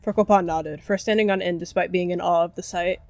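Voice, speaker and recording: A woman reads scripted story narration for a roleplay. Her voice sits a little low, and music plays quietly behind her. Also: steady tone, brisk, no room sound.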